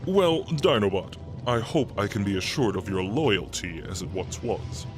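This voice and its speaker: A man's voice: fairly low pitch, lively intonation, unhurried, over music. A man playing a scheming robot tyrant in a cartoon radio drama, pompous and theatrical, savoring every word. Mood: smug, scheming. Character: grandiose robot tyrant villain, gloating, scheming. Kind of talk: animated-series radio drama acting.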